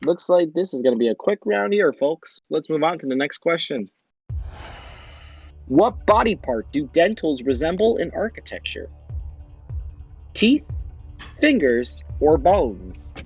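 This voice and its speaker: A young man with a slightly high, husky voice hosts a fan-made game show quiz. He reads each question and its choices in a bright, upbeat quizmaster manner. Light music plays behind him. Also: big pitch swings, a little slow, long pauses, dull audio.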